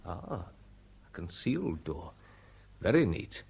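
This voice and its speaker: An actor playing a bold, curious amateur sleuth who speaks briskly and eagerly. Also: male voice, low-pitched, very animated, gravelly, darkish tone, slight background noise, heavily acted. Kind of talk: 1970s radio drama acting.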